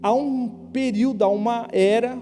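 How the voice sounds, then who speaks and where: High-voiced young preacher in reverberant hall. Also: male voice, steady tone, moderate pace, warm tone, slight background noise. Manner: slangy, conversational preaching with rhetorical questions. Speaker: youth pastor. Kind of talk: casual youth sermon. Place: church hall. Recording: live church hall with long reverb.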